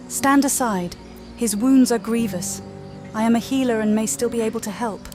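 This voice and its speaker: A woman voicing a calm, direct healer adventurer in a fantasy game, with a measured, questioning manner. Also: a little high, music in the background, natural voice. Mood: composed. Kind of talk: amateur game character voice-over.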